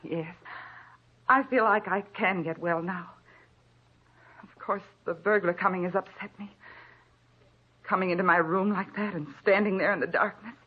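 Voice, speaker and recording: An actress playing a frail, nervous, forgetful American housewife, hesitant and meek, in an old-time radio drama. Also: female voice, mid-range voice, moderate pace, pauses often, breathy edge, natural voice. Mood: meek, confiding. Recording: dull, noisy old radio recording.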